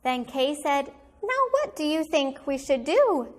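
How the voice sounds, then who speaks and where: Woman, high voice, slow pace, kindergarten teacher, animated picture-book read-aloud, deliberate storytelling for small children.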